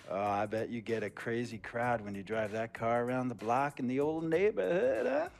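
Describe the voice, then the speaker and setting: An actor playing a wealthy, smooth-talking male tech mogul who tells rambling stories in a game cutscene. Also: mid-range voice, expressive, moderate pace, faint music behind. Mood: chummy. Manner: rambling, theatrical storytelling. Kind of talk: video game cutscene dialogue.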